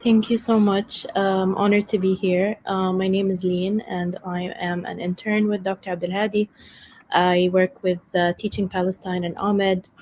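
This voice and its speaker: A young woman from Lebanon, an intern, introducing herself in an earnest, halting way. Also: medium pitch, flat delivery, darkish tone. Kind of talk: self-introduction.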